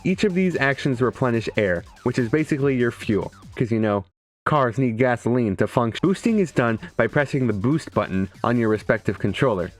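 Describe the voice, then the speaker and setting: A man's voice: medium pitch, lively intonation, brisk. Sarcastic male gamer over music. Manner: sarcastic, profane. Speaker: gaming video creator. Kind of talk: casual comedic game commentary. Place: indoors, streaming setup.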